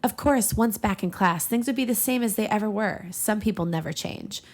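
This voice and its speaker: Woman podcast co-host, mid-pitched voice. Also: normal speed. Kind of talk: casual comedic banter and story reading.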